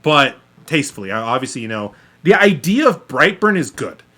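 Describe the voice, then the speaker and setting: A man co-hosting a podcast, talking in a casual, joking way, halting and discursive with some swearing. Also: medium pitch, sing-song, pushed and bright. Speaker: podcast co-host.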